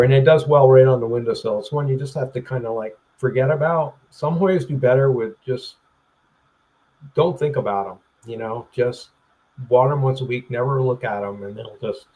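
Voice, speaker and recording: Halting, throat-clearing man on a webcam. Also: medium pitch, darkish tone, low-quality recording. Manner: rambling, halting with restarts and throat-clearing, chuckling. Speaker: plant grower, interview guest. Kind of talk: casual interview chat. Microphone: webcam video call.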